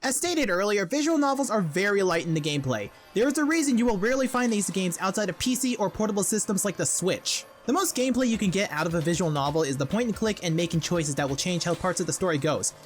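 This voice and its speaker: Casting: irreverent video essayist, animated and fast-talking, scripted comedic narration over light music. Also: mid-range voice. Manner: wry, irreverent. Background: background music.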